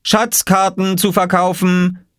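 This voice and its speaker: A man's voice: high-pitched, sing-song, brisk, no room sound, studio quality, pitched above the performer's own voice. A man voicing a cheeky, stubborn little boy in a storybook, insistent and proudly calling out.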